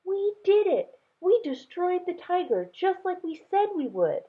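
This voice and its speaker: A woman voicing excited, triumphant young twins in a children's storybook, with an eager, exuberant delivery. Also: extremely high pitch, a little slow, voice pushed up, full character voice. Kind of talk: children's storybook read-aloud. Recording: tinny, phone-like narrow sound.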